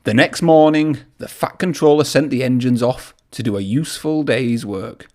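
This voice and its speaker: A man's voice: medium pitch, normal speed, a little mellow. A man reading a children's story aloud in a narrating style. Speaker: storybook reader.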